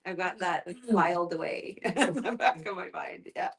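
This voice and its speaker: A woman's voice: on the low side, moderate pace. A woman hosting a casual group discussion in a good-humored, joking way. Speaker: listening club host.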